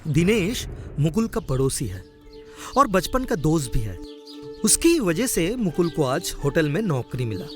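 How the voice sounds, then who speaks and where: A man narrating a scripted cartoon story as its narrator, in an expressive storytelling manner. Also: fairly high pitch, unhurried, faint music behind.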